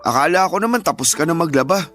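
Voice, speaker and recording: Selfish young philanderer, radio drama; high male voice, whining and defensive over soft music. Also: lively intonation, fast. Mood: whiny, demanding. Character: lazy, selfish young cheating husband, whiny and shameless. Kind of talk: Filipino radio drama acting.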